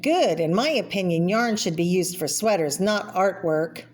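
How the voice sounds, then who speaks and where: A woman's voice: lowish voice, lively intonation, normal speed, darkish tone. A woman voicing an opinionated, indignant talking lamb from a children's picture book cartoon. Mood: huffy, opinionated. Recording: clear background noise.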